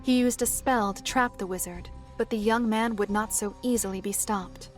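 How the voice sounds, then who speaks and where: Woman as a solemn dark fantasy storyteller. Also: medium pitch, fairly even intonation, faint music behind, close to an everyday voice. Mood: grave. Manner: formal, measured, solemn. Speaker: narrator. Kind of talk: scripted dark fantasy narration.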